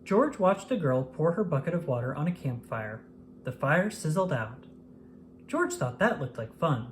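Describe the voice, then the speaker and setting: An expressive storybook narrator reads aloud for young children with a pitch that moves up and down freely. The delivery is scripted and acted out, over faint background noise. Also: normal speed. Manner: expressive, acts out exclamations and dialogue.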